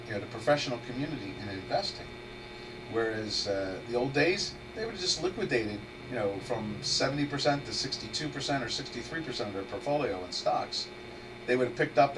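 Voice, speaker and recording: A man fighting a head cold who hosts a live trading webinar, thinking aloud in a rambling way and restarting his sentences. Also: medium pitch. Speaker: trader hosting a live webinar. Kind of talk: casual live market commentary, thinking aloud. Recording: distant mic with steady electrical hum.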